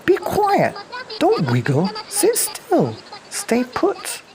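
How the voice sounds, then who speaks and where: Bossy, timid little sea snails from a children's storybook, played by a man, talk in a very high voice. Faint noise sits behind the recording. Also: wildly swooping pitch, very slow, exaggerated voice. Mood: scolding. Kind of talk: bedtime picture-book storytelling.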